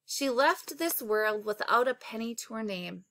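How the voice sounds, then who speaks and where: A proud, folksy mom from a children's storybook, voiced by a woman reader, selling her farm eggs. Her voice is high and bright, and she explains things at an unhurried pace. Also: animated, dry recording. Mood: matter-of-fact. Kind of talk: children's storybook read-aloud.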